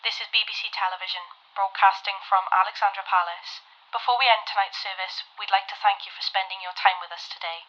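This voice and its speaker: A gracious 1930s television announcer, acted by a woman in an audio drama. The sound is narrow, like an old radio set. Her mid-pitched delivery is formal and polite. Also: fairly even intonation, quick pace, sharp tone. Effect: old radio filter. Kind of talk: 1930s broadcast announcement acting. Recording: band-limited old radio broadcast sound.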